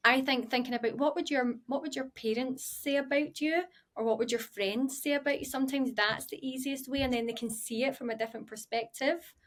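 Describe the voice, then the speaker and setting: A woman hosting an interview podcast, talking in a supportive, affirming way. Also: on the high side. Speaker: podcast host. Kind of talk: casual interview chat.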